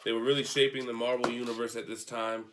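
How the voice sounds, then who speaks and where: A man's voice: mid-range voice, level tone, fast talker, noisy background. A man giving an offhand, rambling, casual video review as a comic book collector, unscripted and mildly profane.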